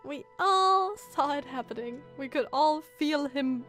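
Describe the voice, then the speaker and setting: A woman streaming a game, giving casual, reactive commentary and thinking out loud. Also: high-pitched, a little slow. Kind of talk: casual live gameplay commentary. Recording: game music behind the voice.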